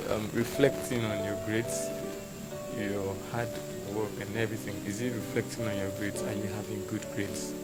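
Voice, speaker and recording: A man's voice: on the low side, level tone, moderate pace, loud music behind the voice, rough recording. A man interviewing a student, repeating and rephrasing his questions.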